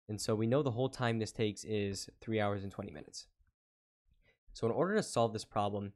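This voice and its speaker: A man with a lowish voice talks through a math problem as a tutor. He explains each step patiently, pausing with small fillers and slowing down while he reads out numbers. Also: a little mellow. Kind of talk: whiteboard lesson walkthrough.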